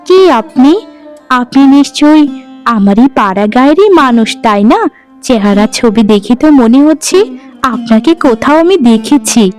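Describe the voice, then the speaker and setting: A gentle young bride in a village cartoon, played by a woman. Her voice is high, courteous and earnest, with music underneath. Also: animated, moderate pace, natural voice. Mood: polite. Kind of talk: cartoon story dialogue.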